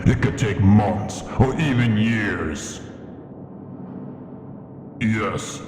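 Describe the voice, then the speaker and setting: An old, haughty dragon acted by a man holds forth in a deep voice, weighing each formal word slowly. The sound rings with long cavernous reverb, in an immersive fantasy ASMR roleplay style. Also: a little mellow, close to an everyday voice. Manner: slow, imperious, formal and deliberate. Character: ancient proud male dragon in his cavern lair. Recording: echoing cavern reverb with music behind. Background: music.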